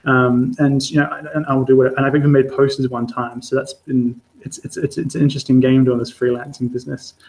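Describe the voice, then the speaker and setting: A man's voice: mid-range voice, flat delivery, fairly quick, few pauses, darkish tone, poor audio. A man from Adelaide with an Australian accent, a freelance journalist giving a candid talk to an online audience, hesitant, with many fillers and restarts. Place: home or studio for a live stream. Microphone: streaming setup.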